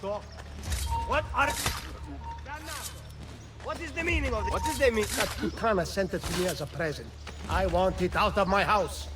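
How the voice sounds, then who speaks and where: A man's voice: high-pitched, moderate pace, pauses often, close to an everyday voice. A man playing a haughty, agitated, spiteful jilted lover with an Italian accent in a video game. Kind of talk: dramatic video game acting. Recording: faint music behind the voice.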